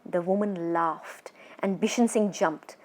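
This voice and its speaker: A woman storyteller narrating a children's horror story in an expressive, suspenseful, dramatic way. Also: fairly low pitch, slow.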